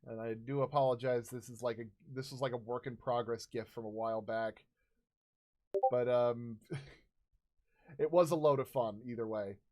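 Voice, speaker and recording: A man in his thirties casually recounting tabletop game stories as a host, halting and searching for words. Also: medium pitch, steady tone, darkish tone. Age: 30s.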